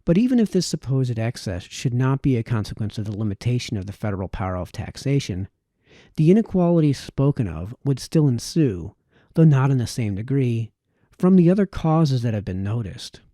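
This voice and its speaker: Dark, mellow-voiced man reading aloud. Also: mid-range voice, sing-song, normal speed, no room sound, clean studio sound. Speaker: amateur reader of historical texts. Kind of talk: read-aloud of formal historical essay, audiobook style.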